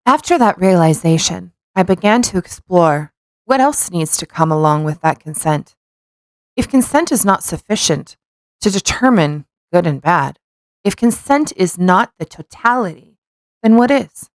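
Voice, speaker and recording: A woman's voice: lowish voice, lively intonation, unhurried, no room sound. A woman co-hosting a casual podcast. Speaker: podcast co-host.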